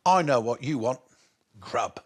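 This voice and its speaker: A man voicing a good-natured, rough-spoken burglar in a children's storybook, exclamatory and folksy. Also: medium pitch, animated, unhurried. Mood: concerned.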